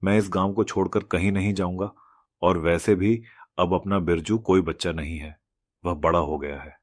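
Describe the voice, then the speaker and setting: A man's voice: deep voice, fairly even intonation, normal speed, no room sound, clean studio sound. A man playing a cruel, stubborn, miserly rich village landowner in a moral cartoon story, with a harsh, scolding and domineering manner. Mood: stubborn, indignant.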